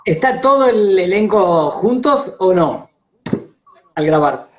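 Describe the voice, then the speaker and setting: Man, high-pitched voice, casual interviewer, roomy dull livestream audio. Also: expressive, slow pace. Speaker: host, interviewer. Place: remote live video chat.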